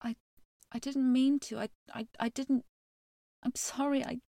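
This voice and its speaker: A woman's voice: high-pitched, steady tone, measured pace, gravelly, mellow, no echo, clean studio sound, voice pushed up. A woman voicing a young boy hero, pleading and full of tearful promises.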